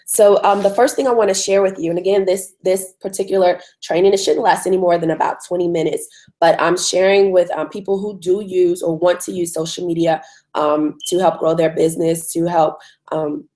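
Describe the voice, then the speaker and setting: Woman, hoarse, low voice, warm tone, webinar host, chatty with ums. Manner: frequent ums, conversational. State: hoarse from a cold. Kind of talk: live webinar presentation.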